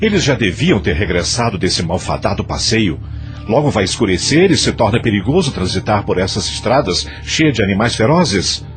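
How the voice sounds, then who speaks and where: A man's voice: lowish voice, sing-song, moderate pace, soft background music. An actor playing a worried, commanding old grandfather and plantation master in an audio drama, with an urgent, authoritative manner.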